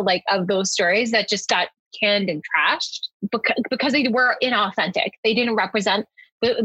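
A woman whose family comes from Hyderabad, answering interview questions about her children's books in a quick, chatty way with many fillers. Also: mid-range voice, a bit bright, husky, no echo, dull audio. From family from Hyderabad, India. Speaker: children's book publisher.

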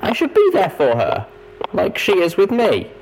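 A woman playing a dying young pony, forlorn and halting, as if on an old recorded message. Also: fairly low pitch, huge pitch swings, noisy background. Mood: forlorn, urgent.

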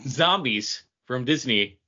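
A man hosting a casual podcast, chatting and bantering in a jokey, animated way, laughing readily. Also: mid-range voice, slow pace, lo-fi sound. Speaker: podcast host.

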